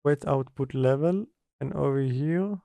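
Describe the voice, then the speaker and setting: A man giving a casual software tutorial, hesitant and thinking aloud as he works. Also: mid-range voice, measured pace, gravelly, dry recording. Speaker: tutorial presenter. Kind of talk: casual software tutorial, talking through steps.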